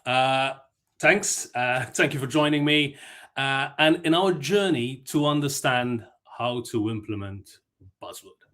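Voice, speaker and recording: A man from Malta gives a conference talk in a chatty, self-deprecating way. He stumbles through fillers and restarts as he tells a story. His voice sits at a middling pitch. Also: unhurried, sharp tone. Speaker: conference speaker.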